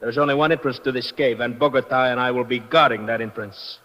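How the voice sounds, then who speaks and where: An actor playing a smug, cold-blooded crime boss, formal and menacing, in a 1950s radio drama. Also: male voice, mid-range voice, fairly even intonation, quick pace, lightly acted. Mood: threatening. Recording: muffled old radio recording with reverb.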